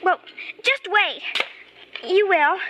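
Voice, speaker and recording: A woman's voice: extremely high pitch, animated, takes it slowly, heavily acted. A female actor playing an earnest, stubborn little girl in an urgent, pleading manner, in old-time radio drama style. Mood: insistent. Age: child. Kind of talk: 1950s radio drama acting. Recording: noisy old radio broadcast recording.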